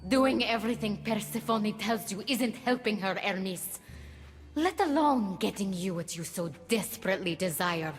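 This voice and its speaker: A woman's voice: medium pitch, lively intonation, moderate pace, natural voice. Woman playing a sly goddess in reverberant space. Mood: cutting. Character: sly, sardonic scheming goddess of magic. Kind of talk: video game voice acting. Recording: long reverb, faint music behind.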